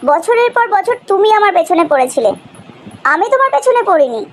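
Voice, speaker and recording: A woman's voice: very high-pitched, sing-song, brisk. A woman voicing a proud, touchy, self-centred young college woman in cartoon drama dialogue. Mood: indignant.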